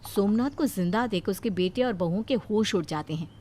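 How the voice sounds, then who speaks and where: A woman tells a cartoon moral story in a steady, dramatic storytelling voice. Her pitch is mid-range. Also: fairly quick. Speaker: narrator.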